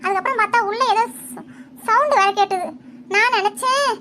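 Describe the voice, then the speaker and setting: A woman plays a quarrelsome, tearful village wife in a cartoon, talking in a very high, piercing, bright voice. She rattles on quickly, complaining and accusing, and a faint hiss of noise sits under the voice. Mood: lamenting. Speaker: village housewife character. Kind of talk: Tamil cartoon voice acting.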